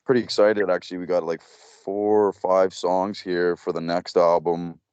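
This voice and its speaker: Low-voiced man rambling with fillers. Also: little pitch movement, moderate pace, a little mellow, smooth, no echo, highs cut off. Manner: rambling, many fillers and restarts. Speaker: metal band vocalist, interview guest. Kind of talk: casual interview answers.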